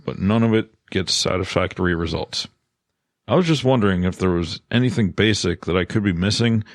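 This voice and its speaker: A man's voice: lowish voice, no room sound, studio quality. A man co-hosting a podcast, reading out scripted announcements and listener questions. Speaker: podcast co-host.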